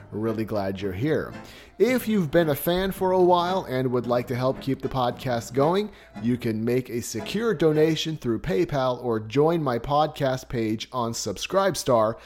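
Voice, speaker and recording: A man hosting a podcast interview. He asks questions and keeps up a steady stream of quiet murmurs of agreement while his guest talks. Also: mid-range voice. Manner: attentive, frequent murmured backchannels. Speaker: podcast host.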